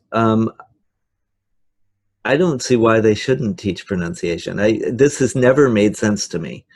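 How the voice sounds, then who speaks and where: Slow, frank older professor on a video call. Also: male voice, fairly low pitch, a little mellow. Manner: thoughtful, frank, many hesitations. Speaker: professor and pronunciation teacher trainer. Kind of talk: interview answer over video call. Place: office.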